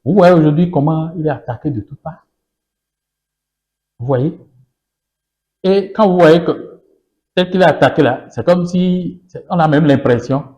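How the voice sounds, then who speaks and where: A man's voice: on the high side, mellow. A man whose mother tongue is Yoruba, a political guest in an interview, speaking emphatically with repeated phrases and rhetorical questions.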